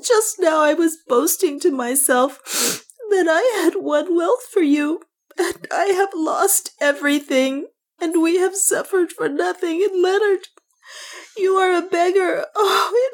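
A distraught young heroine of an adventure story, acted by a woman narrator. Her voice climbs high as she wails and gasps tearfully, full of despair and fear. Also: unhurried, warm tone. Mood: wailing despair. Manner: wailing, gasping, tearful. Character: anguished young woman wailing over lost fortune. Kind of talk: dramatised audiobook dialogue.

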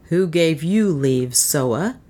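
A woman reader takes the part of a steadfast man in an old adventure tale. The voice is low and grave, firm and coolly gloomy, holding steady under threat. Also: slow pace, full character voice. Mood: cool, stern. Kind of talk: audiobook character acting.

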